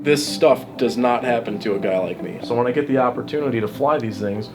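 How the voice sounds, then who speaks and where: A man's voice: medium pitch, brisk, music in the background. A young adult man talking casually and enthusiastically, like a pilot encouraging and instructing someone. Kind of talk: casual flight instruction and chat.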